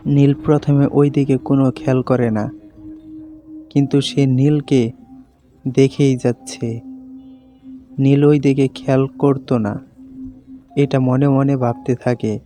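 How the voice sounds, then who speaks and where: A man's voice: medium pitch, dark tone. A man narrating a scripted horror story for a cartoon.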